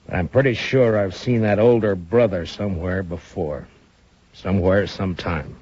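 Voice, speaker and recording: Stern tired old west marshal, low voice, terse, muffled 50s radio drama sound. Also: male voice, normal speed, darkish tone, husky. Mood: guarded, easy. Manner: terse, authoritative. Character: stern, weary, watchful frontier marshal of middle age. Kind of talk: 1950s radio western acting. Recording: dull 1950s radio recording.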